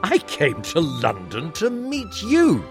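A man doing a slow, polite old colonel. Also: on the high side, wildly swooping pitch, music in the background, voice pushed up, exaggerated voice. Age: older. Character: elderly male colonel, polite and genial. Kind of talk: audiobook reading.

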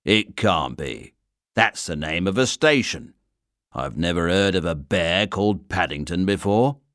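Stern gruff inspector, officious, deliberate speech, dry sound, storybook figure, a man reading it. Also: low voice, animated, fairly bright tone, no echo. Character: stern gruff adult male station inspector.

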